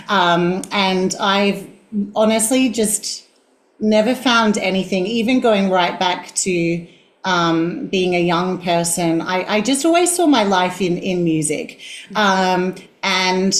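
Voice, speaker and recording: Boomy-voiced woman in her forties, roomy. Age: 40s. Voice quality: boomy mezzo voice. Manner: reflective, self-deprecating, laughs easily. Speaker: guest, singing teacher. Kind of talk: conversational interview.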